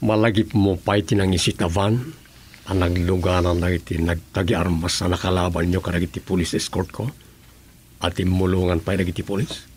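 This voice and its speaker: A man's voice: low voice, flat delivery, normal speed, a little mellow, a little hiss or hum, natural voice. A man with an Ilocano accent playing a male provincial governor in a radio serial. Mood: questioning. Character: male provincial governor questioning his aide. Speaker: actor in a radio drama.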